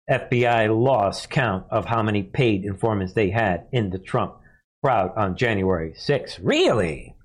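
Man, American accent, mid-pitched voice, live political commentary, emphatic, derisive, repetitive for effect. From United States. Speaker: news commentary show host.

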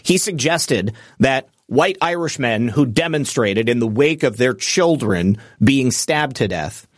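Male show host, mid-pitched, dry close mic, emphatic off-the-cuff monologue with lots of fillers. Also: expressive, unhurried, no room sound. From United States. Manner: rambling and emphatic, with frequent fillers and restarts. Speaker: political commentary show host. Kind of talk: unscripted political commentary monologue.

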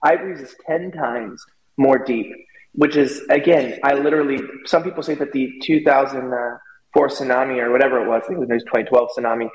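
A man's voice: medium pitch, brisk, darkish tone. A man giving casual, opinionated commentary as a talk show guest, explaining at length in a rambling way. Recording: echoey room with long reverb.

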